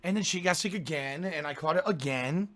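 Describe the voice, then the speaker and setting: A man with a cold, hoarse and congested, chatting casually to his viewers before a game stream, grumbling and ranting and clearing his throat often. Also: on the high side, a little fast, a bit bright.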